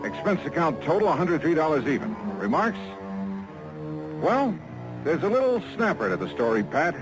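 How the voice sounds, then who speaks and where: An actor playing a tough, dry-witted insurance investigator in clipped 1950s radio detective style. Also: male voice, on the high side, very animated, moderate pace, rough recording. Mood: wry, upbeat. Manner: clipped, terse, hardboiled. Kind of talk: 1950s hardboiled radio acting and first-person narration. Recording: vintage radio drama with music behind.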